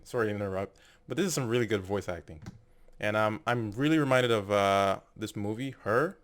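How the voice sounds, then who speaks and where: Lowish-voiced man doing game commentary. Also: fairly bright tone. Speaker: gameplay video commentator. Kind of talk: casual off-the-cuff let's play commentary.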